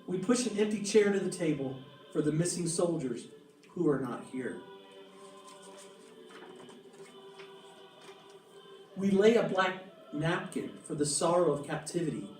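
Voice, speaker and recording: A man reading the part of a gentle, solemn mother in a storybook, explaining earnestly and in measured phrases to her children. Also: fairly high pitch, lively intonation, close to an everyday voice. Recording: roomy echo, distant mic, music behind.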